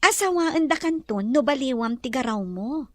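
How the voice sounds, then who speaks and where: A woman playing a gentle, repentant lady of the mansion in an audio drama, soft and earnest. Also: high-pitched, lively intonation, moderate pace, no echo, studio quality. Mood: friendly, teasing.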